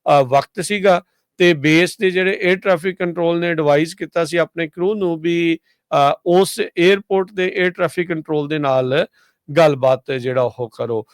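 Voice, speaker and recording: A man with a Punjabi accent presenting a radio news report in a conversational, halting way with frequent hesitations. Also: fairly high pitch, no echo, clean studio sound.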